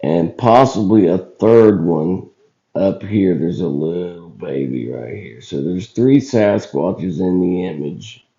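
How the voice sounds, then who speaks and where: A man with a rough, scratchy, hoarse voice, recovering from illness, giving casual commentary on footage as a channel host in a folksy, halting, colloquial way. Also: low-pitched, expressive, warm tone. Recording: dull lo-fi recording.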